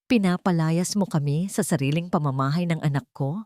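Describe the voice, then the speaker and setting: A woman's voice: low-pitched, a little fast, a little mellow, no echo, clean studio sound, lightly acted. A woman voicing an indignant, manipulative older mother-in-law with a wailing, aggrieved manner in a story. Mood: shocked, disgusted.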